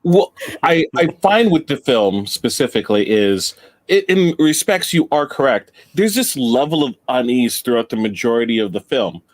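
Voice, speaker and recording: A man with an average-pitched voice takes part in an informal debate. He lays out his points in a measured way, often seeking agreement. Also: lively intonation. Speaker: guest panelist. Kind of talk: casual debate about horror films.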